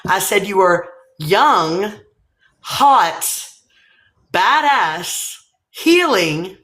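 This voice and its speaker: A woman's voice: medium pitch, sing-song, extremely slow, background noise. Texan woman hosting a casual live chat. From Texas. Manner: chatty, swearing, teasing, laughing. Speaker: livestream host.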